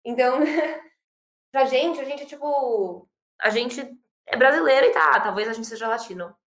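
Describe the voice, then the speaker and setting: A Brazilian woman in her thirties, a startup founder answering interview questions with lively, quick speech full of fillers and restarts. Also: high voice, echoey room. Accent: Brazilian Portuguese. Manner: fast, animated, many fillers and restarts.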